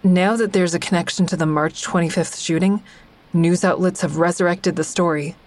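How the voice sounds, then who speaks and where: A woman's voice: fairly low pitch, steady tone, moderate pace, darkish tone, lightly acted. A woman acting as the wry, observant first-person narrator of an audio drama, a member of a support group. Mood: wry, uneasy. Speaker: narrator and character.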